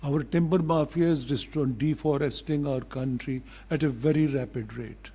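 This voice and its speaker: An older man with a Pakistani accent speaks as a diplomat in a formal public address, in a deliberate, blunt, admonishing manner. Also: a little high, mellow, slightly rough.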